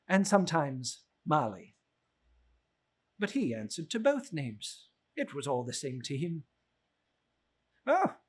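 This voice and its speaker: A man reads a classic story aloud with theatrical flair. His voice sits a little high and is husky, and he takes his time over every phrase, leaning hard on the key words. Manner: theatrical, slow and emphatic with lively swings in pitch. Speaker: narrator reading a classic novel aloud. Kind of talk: dramatic storybook reading.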